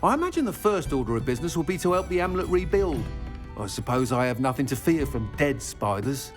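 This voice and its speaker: A man's voice: mid-range voice, sing-song, brisk, faint music behind, lightly acted. A man playing a courteous, formal village shopkeeper with a British accent in a video game. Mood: relieved, grateful. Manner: formal, earnest. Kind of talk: video game character dialogue.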